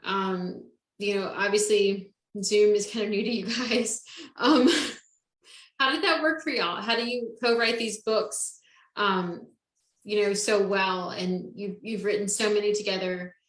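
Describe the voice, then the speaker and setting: A woman hosts a relaxed interview, her talk full of fillers and quick laughs. Her voice sounds roomy, with a noticeable echo around it. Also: mid-range voice. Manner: bubbly, filler-heavy, laughs often. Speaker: podcast host. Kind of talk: casual interview.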